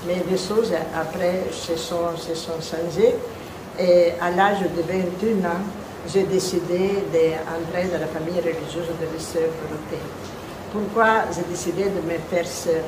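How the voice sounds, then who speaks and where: An older Italian-accented nun speaks in a fairly low voice, telling her vocation story slowly and haltingly, often restarting her phrases. The room is echoey, and heavy rain drums steadily in the background. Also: fairly even intonation, noisy background. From Italy, Venice region. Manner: halting, searching for words, many restarts. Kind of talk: personal testimony in interview.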